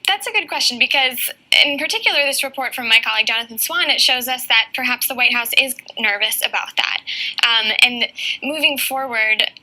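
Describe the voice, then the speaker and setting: Woman giving bright, higher-pitched political analysis. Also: a little fast, low-quality recording. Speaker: political reporter interviewed as a news commentator. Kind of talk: live news analysis.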